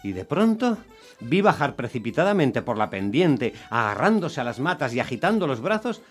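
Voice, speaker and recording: A man playing an exasperated, unlucky taxi driver, telling his story in a wry, expressive way as radio drama. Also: mid-range voice, moderate pace, breathy edge, soft background music. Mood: weary, narrating. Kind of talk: dramatised first-person radio narration.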